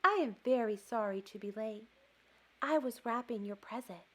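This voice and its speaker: A woman's voice: a little high, normal speed, close to an everyday voice. A woman voicing a polite, reassuring male frog in a storybook, with simple, emphatic replies. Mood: apologetic.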